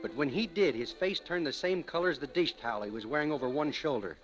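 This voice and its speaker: An actor playing a wry, wisecracking hardboiled detective, lovesick and dogged, in old-time radio drama. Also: male voice, on the high side, quick pace, close to an everyday voice. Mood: wry narration. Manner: wry, sardonic, theatrical. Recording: dull vintage radio recording, music behind.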